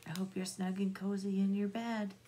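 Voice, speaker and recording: A middle-aged woman reading bedtime nursery rhymes aloud to young children in a soft, singsong, drawn-out way. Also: medium pitch, little pitch movement. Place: small room at home, indoors. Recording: noticeable background room noise.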